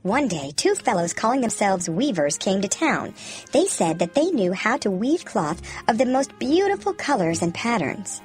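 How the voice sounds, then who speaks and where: A female storyteller narrates a scripted fairy tale for children. Her intonation swings expressively and every phrase is clearly enunciated. Music plays behind her voice. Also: mid-range voice, big pitch swings, normal speed, a little mellow. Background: background music.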